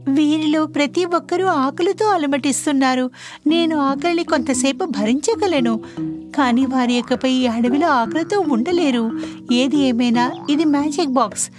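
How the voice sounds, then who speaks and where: Lady doing a sweet generous young girl for a kids cartoon, high voice, earnest, music behind. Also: normal speed, close to an everyday voice. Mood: compassionate. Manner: earnest, tender, expressive. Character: kind-hearted, generous young girl on a journey. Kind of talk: children's cartoon story acting.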